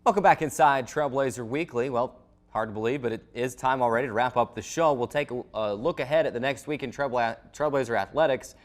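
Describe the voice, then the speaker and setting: A man hosting a sports recap show, reading out schedules to viewers in a slightly halting announcer delivery. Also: mid-range voice, a little hiss or hum.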